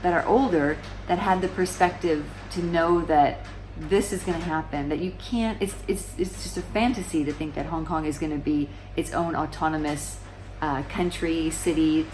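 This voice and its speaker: A woman's voice: low-pitched, steady tone, normal speed, few pauses, darkish tone, slight background noise, rough recording. A woman in her forties explaining current events to camera in a casual, conversational way, often restarting sentences and asking rhetorical questions. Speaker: vlogger. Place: small room indoors, seated on a sofa.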